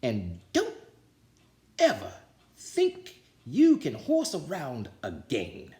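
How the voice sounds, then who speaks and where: A man's voice: medium pitch, huge pitch swings, drawn-out words, mellow, pitched above the performer's own voice, full character voice. A man voicing a stern, bossy woman football coach in a storybook, barking menacing commands. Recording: roomy indoor echo.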